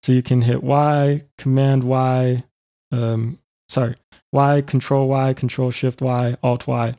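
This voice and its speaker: Male software tutor, informal and methodical. Relaxed, fry-edged delivery, dry sound. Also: mid-range voice, takes it slowly, no echo. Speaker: tutorial presenter. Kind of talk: informal step-by-step software demonstration.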